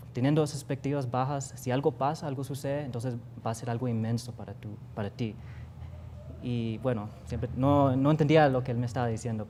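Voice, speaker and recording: A man's voice: medium pitch, warm tone, a little hiss or hum. A young man with a Costa Rican accent, a guest artist speaking earnestly and reflectively in a TV studio interview, with frequent fillers. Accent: Costa Rican Spanish. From Costa Rica. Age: young adult.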